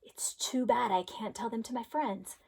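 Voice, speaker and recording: Lady doing a grumbly restless shape from a kids storybook, high voice, kinda plaintive. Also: moderate pace, gravelly, a little mellow, breathy edge. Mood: pleased.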